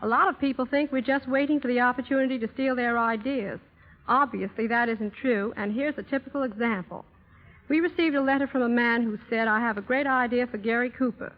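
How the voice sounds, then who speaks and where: A woman's voice: on the high side, brisk. A woman speaking as a guest in a scripted 1930s radio interview, formal and articulate. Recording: muffled old radio recording, long reverb.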